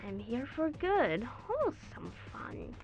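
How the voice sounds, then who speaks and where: Radio-play clown villain, played by a woman: needy, psychopathic, wheedling, lively high pitch over music. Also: slow pace, muffled recording, heavily acted. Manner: wheedling, falsely innocent. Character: needy, gleefully psychopathic clownish male supervillain. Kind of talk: superhero parody radio play.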